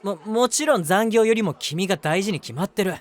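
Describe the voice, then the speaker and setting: A man acting a lively, high-voiced lovestruck young guy. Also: a little fast, some chatter behind. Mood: flustered, eager. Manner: chatty, self-deprecating, earnest, hesitant asides. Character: eager, lovestruck, easily flustered young man, eldest brother. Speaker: voice actor. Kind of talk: Japanese romantic audio drama monologue.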